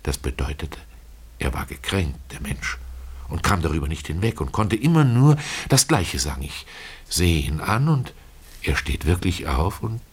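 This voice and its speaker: Deep-voiced man telling a dramatic story. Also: breathy edge. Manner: expressive, deliberate, with pauses. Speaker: first-person narrator of a radio play.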